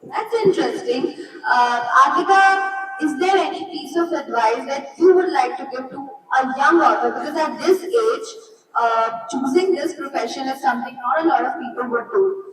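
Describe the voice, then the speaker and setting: A woman's voice: high voice, expressive, normal speed, slight background noise. A woman interviewing authors politely and courteously. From India. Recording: roomy, echoey hall, from far off.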